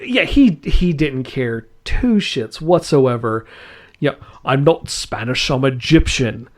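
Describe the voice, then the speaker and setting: Lively, slow-talking man chatting casually. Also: mid-range voice. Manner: enthusiastic, rambling, laughs often. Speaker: podcast host. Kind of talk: casual podcast chat.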